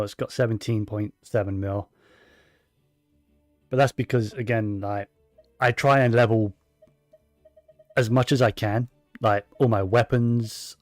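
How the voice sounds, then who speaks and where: A man's voice: lowish voice, no echo. A man giving casual, rambling commentary while playing a video game, with plenty of fillers and restarts. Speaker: gaming streamer.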